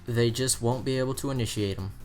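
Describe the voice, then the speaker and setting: A man with a mid-pitched voice presents a buying guide. He explains product options in a review narration style. Soft music plays behind his voice. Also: quick pace.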